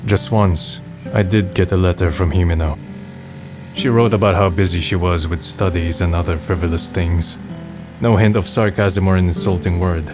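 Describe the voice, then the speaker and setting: Manga dub lead, male actor: melancholy young man, very low register, subdued delivery, music behind. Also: natural voice. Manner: subdued, introspective. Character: weary, melancholy young man resigned to death. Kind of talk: first-person narration and dialogue in manga dub.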